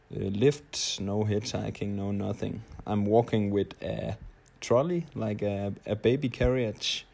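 A man in his late twenties from Denmark with a Danish accent, telling his story in a halting, hesitant audio-blog monologue. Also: on the low side, mellow. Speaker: traveler, audio blogger.

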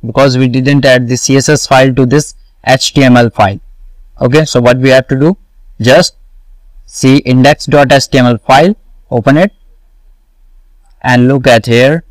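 A man walking through a coding tutorial, slowly repeating each step and answering his own questions. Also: medium pitch, clean tone, dry recording, rough recording. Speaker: web development tutor. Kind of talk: screen-recorded coding tutorial.

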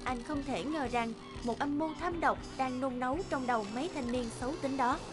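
High-pitched woman narrating a fairy tale. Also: brisk. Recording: music bed behind the voice. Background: background music.

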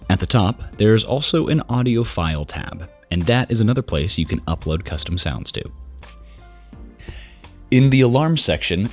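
Low-voiced young American man presenting a tutorial. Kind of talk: product setup tutorial, casual but scripted narration.

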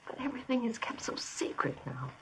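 An actress playing an anxious, earnest young woman in a vintage radio drama, her voice husky and breathy. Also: a little high, very animated, fairly quick, whispered. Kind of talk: 1950s radio drama acting. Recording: distant vintage radio recording.

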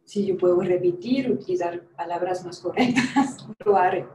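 A woman's voice: fairly low pitch, normal speed, mellow, slight background noise. A woman in her thirties from France with a French accent, lecturing on a video call, careful and searching for words. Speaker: guest lecturer, trumpeter. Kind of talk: online lecture. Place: room with brass instruments. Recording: roomy video-call audio.

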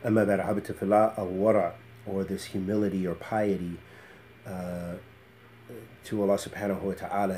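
A middle-aged man giving an informal Islamic lecture in a measured, halting, reflective way. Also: lowish voice, mellow, a little hiss or hum. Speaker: Islamic teacher. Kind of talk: informal religious lecture with Arabic phrases and quotations. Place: small book-lined study indoors.

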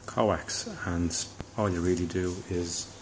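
A deep-voiced man talks through a home DIY job in an even, low-key tone. He pauses and thinks aloud as he explains, in a casual hobbyist style, with ambient noise on the recording. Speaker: hobbyist presenter. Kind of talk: casual DIY demonstration talk.

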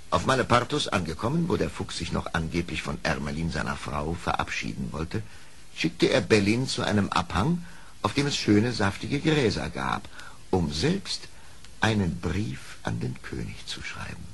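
Low creaky guy narrating an old story record, expressive and measured, bit of hiss. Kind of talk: dramatic scripted storybook narration. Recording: faintly hissy old audio play record.

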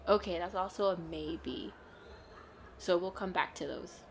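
A woman playing a playful, excitable girlfriend in a chatty roleplay audio, with a bubbly, expressive delivery. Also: on the low side, unhurried, vocal fry, slight background noise. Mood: excited, teasing. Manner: bubbly, expressive, frequent giggles and exclamations. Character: playful, excitable, pleading girlfriend out costume shopping.

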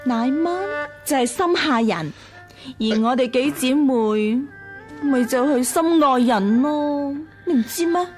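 High-voiced, jealous, coquettish Cantonese wife. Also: female voice, slow pace, a little mellow, soft background music. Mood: jealous, teasing. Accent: Hong Kong Cantonese. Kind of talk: Cantonese radio drama acting.

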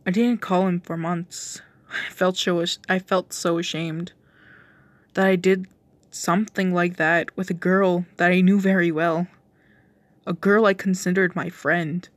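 Slow-paced woman reading a story. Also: on the low side, no echo. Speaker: narrator. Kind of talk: amateur fan fiction story reading.

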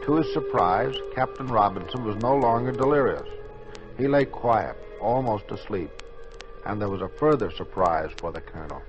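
A man's voice: on the low side, animated, normal speed. A man giving dramatic scripted narration in the style of 1950s radio. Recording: dull old radio recording, music behind.

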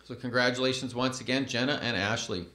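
A man in his thirties reads award citations at a podium, his middle-pitched voice measured and formal. The room rings around him with a roomy echo, as on a school event stage. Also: fairly even intonation, sharp tone. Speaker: awards ceremony announcer. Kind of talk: reading award announcements. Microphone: podium microphone.

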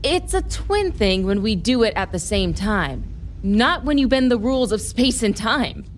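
A wry, harried news reporter. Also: female voice, fairly high pitch, sing-song, measured pace, slight background noise. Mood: teasing, affectionate. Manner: sardonic, casual, sweary. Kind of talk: naturalistic audio drama acting.

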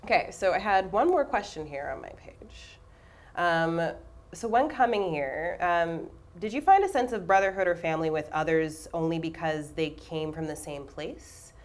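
A woman's voice: fairly low pitch, expressive, normal speed. A woman moderating a theatre panel, conversational and agreeing with the guests. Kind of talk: panel talk on stage. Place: small theatre stage with audience.

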